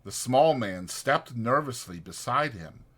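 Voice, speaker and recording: A man narrating a literary novel as a dramatized audiobook, reading deliberately and expressively. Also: medium pitch, expressive, fairly bright tone, slightly rough.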